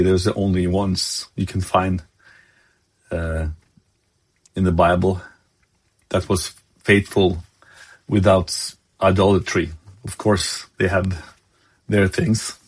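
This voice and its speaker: Slow, husky Norwegian-accented man preaching. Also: sits low, darkish tone. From Norway. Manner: halting, searching for words, many fillers. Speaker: lay preacher. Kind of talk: devotional sermon.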